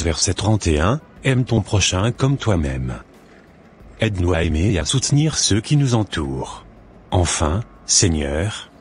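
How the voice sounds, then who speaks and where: Very deep-voiced, earnest devout schoolboy son, played by a man. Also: a little mellow. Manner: earnest, reverent, measured. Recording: noticeable background noise.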